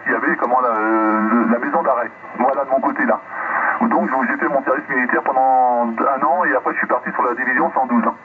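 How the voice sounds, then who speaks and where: A man chatting casually as a radio operator over a two-way link, rambling and often repeating the same filler phrases. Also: mid-range voice. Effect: two-way radio transmission. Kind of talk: casual radio contact chat. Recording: narrowband radio, loud static, distant.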